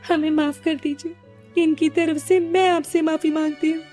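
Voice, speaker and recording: A woman's voice: very high voice, little pitch movement, moderate pace, dark tone, soft background music. A woman playing an honest, hardworking food-cart wife in a Hindi cartoon story, earnest and respectful. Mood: apologetic.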